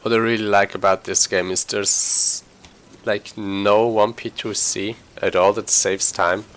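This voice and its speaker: A man with a fairly low voice streams a video game speedrun. He talks informally and rambles a little, thinking aloud as he plays. It is casual live commentary. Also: level tone, unhurried, a bit bright.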